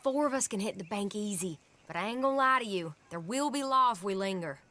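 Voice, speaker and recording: A woman's voice: medium pitch, lively intonation, a little fast, bright, forceful tone. An actress playing a no-nonsense female outlaw in a video game, blunt and confident with an American Western drawl. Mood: urging. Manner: blunt, plain-spoken, confident. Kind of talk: video game cutscene acting.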